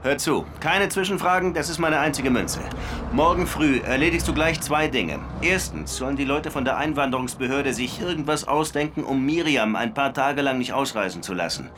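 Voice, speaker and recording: A man's voice: mid-range voice, moderate pace, background noise, lightly acted. An actor playing a weary, dryly sardonic police detective in his thirties in a German radio crime drama. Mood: brisk, commanding. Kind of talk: German radio crime drama, dialogue and first-person narration.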